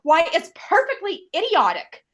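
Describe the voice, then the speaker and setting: An actress playing an anxious, demanding invalid wife in a radio play, fretful, indignant and complaining. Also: female voice, very high voice, huge pitch swings, moderate pace, a bit bright, exaggerated voice. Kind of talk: stage radio play acting.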